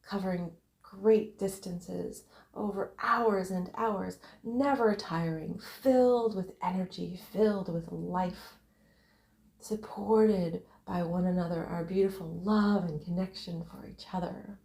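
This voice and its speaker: A woman narrating a guided visualization in a meditative way, speaking in short, separated, breathy phrases. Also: fairly low pitch, fairly even intonation, slow, a little mellow, echoey room.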